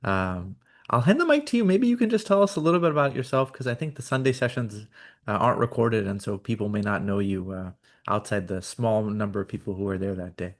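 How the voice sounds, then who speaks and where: Low-voiced upbeat male host. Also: animated, a little fast. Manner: upbeat, chatty, many fillers. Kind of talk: online case conference.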